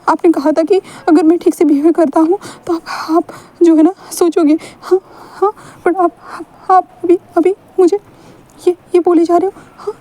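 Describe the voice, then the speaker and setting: Young lovesick boy read by a woman narrator, high mellow voice, pleading, stuttering repeats. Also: natural voice. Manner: pleading, stammering, repeats himself.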